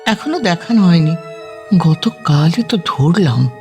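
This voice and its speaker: Anxious, sorrowful middle-aged mother for radio drama: expressive pitch movement, soft music bed. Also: measured pace. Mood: subdued worry. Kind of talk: audio drama acting.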